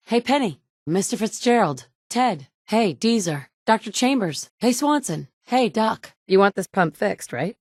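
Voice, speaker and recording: A blunt tough game heroine, snaps back fast, kinda low voice, totally dry studio sound. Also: female voice, darkish tone, no room sound. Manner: curt and assertive, quick to snap back. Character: tough, blunt woman survivor, player hero. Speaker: player character. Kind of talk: game voice lines.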